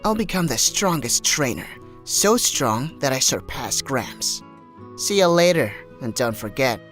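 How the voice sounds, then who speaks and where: Animated, cocky boy rival played by a man. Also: mid-range voice, a little slow, soft background music. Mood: confident. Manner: boastful, teasing. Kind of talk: manga dub dialogue.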